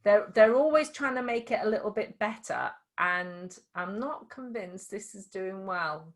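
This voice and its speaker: Slight Scouse woman, middle-aged, chatty tutor demoing software, loose and restarting. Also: mid-range voice, expressive, a little slow. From Liverpool. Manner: chatty, demonstrative, many restarts. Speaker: dyslexia tutor. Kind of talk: casual screen-share tutoring session.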